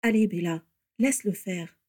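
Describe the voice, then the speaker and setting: A woman's voice: medium pitch, moderate pace, a little mellow, no echo, clean studio sound, close to an everyday voice. A composed young woman from a fantasy audiobook, voiced by a narrator. She is protective and sensible, with a practical, reproving way of speaking. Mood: calm, practical.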